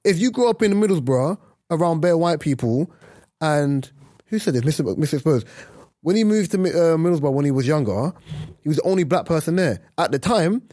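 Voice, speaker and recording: A man co-hosting a casual podcast, talking in an emphatic, animated way. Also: fairly high pitch, brisk, dry recording, studio quality. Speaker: podcast co-host.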